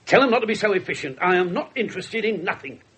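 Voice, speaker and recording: A sardonic English police inspector, very animated. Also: male voice, high voice, quick pace, husky, heavily acted. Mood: impatient. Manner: dry, sarcastic, clipped. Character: brusque, sardonic male police detective inspector. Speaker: actor in a radio play. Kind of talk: 1970s British radio drama acting. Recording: 1970s radio broadcast with faint hiss.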